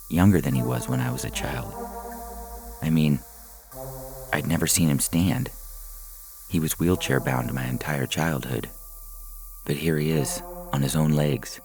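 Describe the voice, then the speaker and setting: Very deep, gravelly male story narrator. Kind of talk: scripted fiction narration, first person. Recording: music bed under the voice. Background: synthesizer music.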